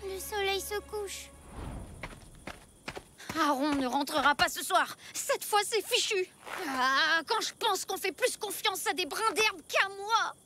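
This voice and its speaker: Very high-pitched, plucky cartoon boy. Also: takes it slowly, faint music behind, full character voice. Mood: dejected. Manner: expressive, eager, childlike. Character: plucky young prehistoric boy, protective of his dinosaur friend. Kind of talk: animated children's cartoon dub acting.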